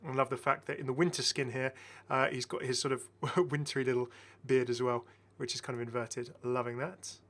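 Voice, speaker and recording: A man's voice: mid-range voice, level tone, a little fast, hardly any pauses, fairly bright tone. A man giving casual, enthusiastic game commentary as a chatty, rambling video host.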